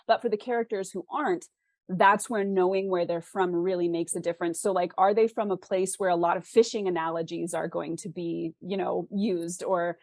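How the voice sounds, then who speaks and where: A woman's voice: lowish voice, steady tone, moderate pace, a little mellow, no echo, studio quality. An American woman from the West Coast explains her craft conversationally as an interview guest, thoughtfully posing questions as she goes. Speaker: interview guest, fiction author.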